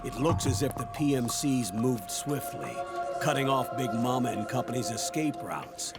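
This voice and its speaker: An actor playing a serious commander who gives a grave, deliberate mission briefing in a video game. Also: male voice, mid-range voice, close to an everyday voice. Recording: loud music under the voice.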